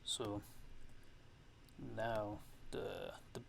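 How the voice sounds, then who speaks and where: Male ASMR tutorial host, low and monotone, casual and halting, unscripted feel, noisy recording. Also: vocal fry. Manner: halting, loose, unscripted explaining. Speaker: music production tutorial host.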